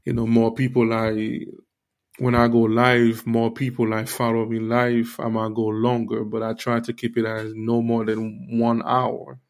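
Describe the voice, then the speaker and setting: A man born in Haiti speaks as the host of his own faith podcast. He talks straight to his listeners in an earnest, preachy way, full of "you know" and "like". His voice sits at an ordinary middle pitch. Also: monotone, unhurried. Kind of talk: casual faith podcast monologue.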